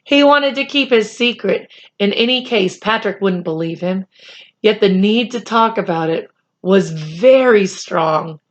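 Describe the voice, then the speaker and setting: Slow-paced woman reading a children's story. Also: medium pitch, lively intonation. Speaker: children's book reader. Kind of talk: read-aloud storytelling of a children's chapter book.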